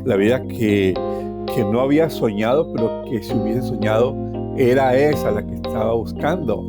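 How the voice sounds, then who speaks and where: A middle-aged man with a Colombian accent recounts his own life as a personal story, phrasing deliberately and repeating words for emphasis. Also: mid-range voice. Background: plucked guitar music.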